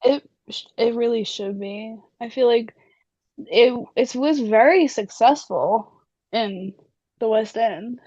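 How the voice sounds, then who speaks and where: A young woman co-hosting a casual chat, chatty and giggly, her voice a little hoarse. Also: fairly high pitch, a little mellow, no room sound, lo-fi sound. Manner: giggly, chatty, drawn-out fillers. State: slightly hoarse. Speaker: podcast co-host.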